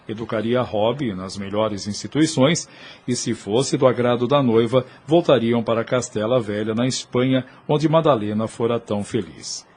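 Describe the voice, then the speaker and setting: A man's voice: mid-range voice, a little mellow, breathy edge. A man with a Brazilian accent giving dramatic, solemn, literary narration of an audio novel.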